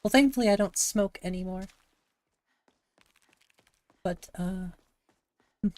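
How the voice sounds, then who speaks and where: A woman from Montana with a gravelly voice, chatting casually to her live-stream audience while she plays a game, thinking aloud and answering chat. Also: medium pitch, slow, a little mellow, no room sound. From Montana, USA. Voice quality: gravelly, slightly raspy.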